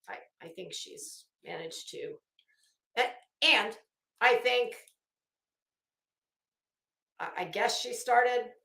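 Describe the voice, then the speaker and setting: A woman ranting casually as an online host, blunt and sarcastic, swearing freely and halting to stretch words for emphasis. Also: medium pitch, a bit bright. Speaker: YouTube host. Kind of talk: casual livestream rant. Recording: echoey room.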